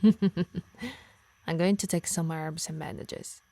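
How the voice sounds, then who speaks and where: A woman's voice: on the low side, slow pace, warm tone, no echo. Doting, scolding harpy played by a woman, dry close sound. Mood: teasing, fond. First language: Italian. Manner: teasing, scolding, sighing. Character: possessive, doting, scolding female harpy healer. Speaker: ASMR roleplay performer. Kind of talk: ASMR roleplay acting.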